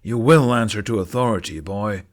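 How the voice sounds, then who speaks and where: A man's voice: fairly low pitch, moderate pace, lightly acted. A man voicing an imperious, sneering middle-aged knight commander in a fantasy story, with a commanding, contemptuous manner.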